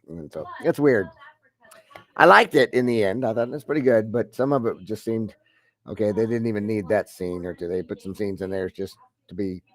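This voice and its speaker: Congested middle-aged male math teacher. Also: lowish voice. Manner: rambling, many fillers and restarts. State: head cold, deep congested voice. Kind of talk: online class lecture.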